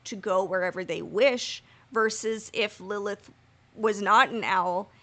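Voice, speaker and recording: A woman co-hosting a fan podcast over a remote call, with a voice of middling pitch. She lays out her reasoning earnestly and argues her point. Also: unhurried, a bit bright. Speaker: podcast co-host. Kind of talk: casual analytical discussion. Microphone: remote call mic.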